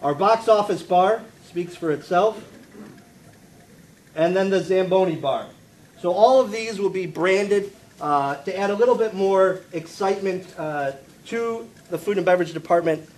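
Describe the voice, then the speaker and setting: A man giving an informal presentation at a live press event, speaking in a halting, jokey way. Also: high-pitched, a little mellow. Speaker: food and beverage presenter at a press conference. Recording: clearly noisy live event with room ambience.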